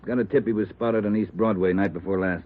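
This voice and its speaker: An American actor playing a gruff, demanding veteran police captain in an old radio drama, speaking curtly. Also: male voice, fairly low pitch, fairly even intonation, quick pace, natural voice. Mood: brusque, demanding. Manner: brusque, curt. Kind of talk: 1950s radio drama acting. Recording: thin, tinny old radio broadcast.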